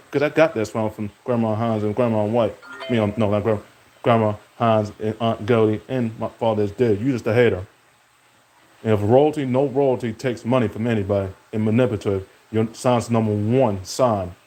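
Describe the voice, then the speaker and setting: A young man streaming, rambling from topic to topic in casual talk and speaking to an absent rival. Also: warm tone. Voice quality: deep voice.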